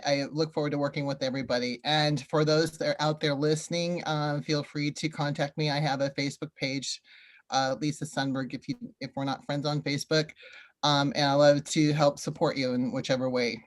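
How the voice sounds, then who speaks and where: A woman's voice: deep voice, fairly even intonation, moderate pace. A woman from northern California with an American accent, informally introducing herself on a video call. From northern California, USA. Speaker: new commissioner. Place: online video meeting.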